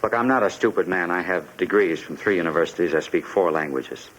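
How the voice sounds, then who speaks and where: A man's voice: sits low, fairly quick, a bit bright. An actor playing a desperate, bitter immigrant man haunted by his past, insistent and wrought up, in a 1950s radio drama. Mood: embittered, resolute. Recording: dull, noisy 1950s radio recording.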